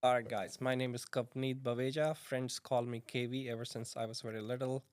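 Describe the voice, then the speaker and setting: A man's voice: medium pitch, fairly even intonation, a little fast, clear, resonant tone, no room sound. Easygoing man guest, dry close mic. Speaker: podcast guest, real estate investor. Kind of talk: casual podcast chat.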